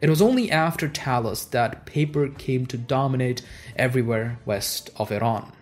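Man, native Urdu speaker, scripted history narration, measured and explanatory, mid-pitched voice, soft music underneath. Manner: measured, explanatory, with stressed openings on transition words.

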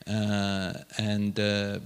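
A man's voice: lowish voice, monotone, drawn-out words, clean tone. A man hosting an interview, asking probing questions and affirming his guest. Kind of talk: conversational interview.

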